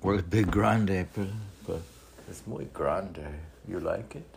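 A man reading his own poetry, with rambling casual asides in an unhurried, halting, wry way. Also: deep voice, gravelly, slight background noise. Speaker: poet reading own work.

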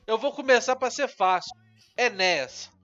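A man's voice: extremely high pitch, expressive, normal speed, pushed and bright, highs cut off, faint music behind. A young man, cracking crude, teasing jokes in casual podcast banter. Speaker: podcast co-host. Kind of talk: casual joking chat.

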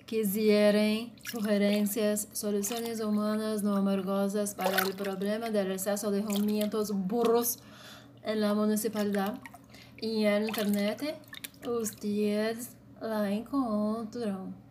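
A woman with a Brazilian Portuguese accent reads her piece aloud in short, even phrases, keeping her voice flat. She moves slowly and deliberately from one phrase to the next. A steady hiss of noise sits under the recording. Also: medium pitch. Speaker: blogger. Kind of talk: read-aloud commentary.

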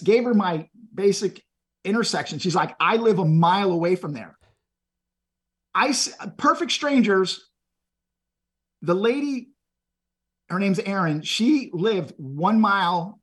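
A middle-aged man from Texas talks with a husky, slightly high voice. He speaks earnestly and motivationally, often repeating a word as he gets a phrase started. His tone is conversational, like a podcast guest sharing stories. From Texas, USA. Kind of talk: conversational storytelling.

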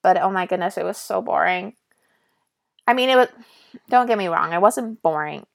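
Raspy young woman chatting casually. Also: fairly low pitch, animated, moderate pace. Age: young adult. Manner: chatty, lots of fillers and asides. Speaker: nursing student. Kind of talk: casual vlog storytelling.

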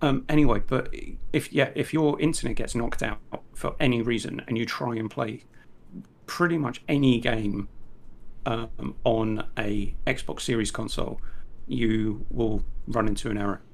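A man's voice: mid-range voice, a little slow, creaky, slight background noise. A man with an English accent chatting casually, rambling with lots of ums and blunt, irritated asides. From England. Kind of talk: casual chat.

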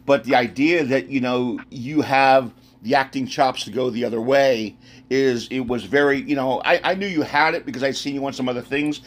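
A man's voice: medium pitch, steady tone, normal speed. A man hosting a podcast interview, effusive and rambling, with lots of fillers. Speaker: podcast host. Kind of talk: casual interview chat.

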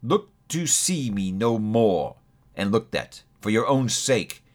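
A doomed old ghost in chains, as a male narrator puts him on, grieving and solemn. He draws every word out, heavy and mournful, in a low voice. It comes from a storybook reading. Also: big pitch swings. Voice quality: slow, heavy, mournful delivery.

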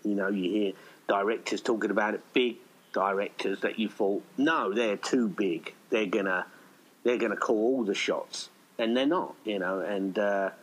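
Low-pitched man chatting as a podcast guest. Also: normal speed, poor audio. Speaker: indie filmmaker, podcast guest. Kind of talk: casual conversational interview.

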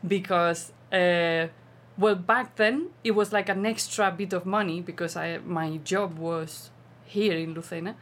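A woman's voice: lowish voice, steady tone, measured pace, hardly any pauses. A woman from southern Spain with a Spanish accent, a teacher chatting casually as an interview guest. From Seville province, Spain. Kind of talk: casual chat.

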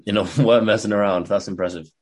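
A man co-hosting a podcast, chatting casually in a hesitant way with many pauses and restarts. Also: on the low side, steady tone. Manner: hesitant, many ums and restarts, chuckles often. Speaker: podcast co-host. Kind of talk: casual chat.

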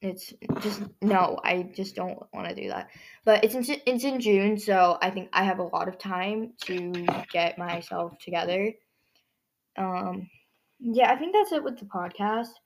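A teenage girl hosting her own podcast in a rambling casual chat, her voice hoarse and scratchy from overuse. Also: mid-range voice, a little slow. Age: teens. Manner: rambling, many fillers and restarts. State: hoarse, strained voice. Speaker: podcast host.

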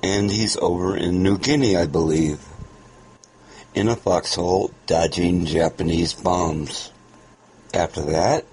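A man's voice: deep voice, darkish tone, breathy edge. A man hosting a podcast, giving an informal, explanatory introduction to an old radio show. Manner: unhurried, explanatory.

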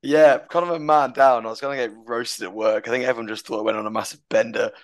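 A man hosting a podcast in casual chat, his voice croaky, upbeat and chatty. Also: mid-range voice, fairly even intonation, fast. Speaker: podcast host.

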